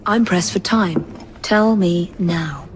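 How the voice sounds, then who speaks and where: A woman's voice: fairly low pitch, slow, a little hiss or hum. An actress playing a composed young Victorian Englishwoman, a clipped and dry assassin in a video game cutscene. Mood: cool, brisk. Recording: game music behind the voice.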